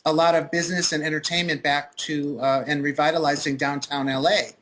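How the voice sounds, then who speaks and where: A man co-hosting a video-call interview, speaking in a relaxed, measured way with many uhs. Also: fairly high pitch. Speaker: co-host. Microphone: headset.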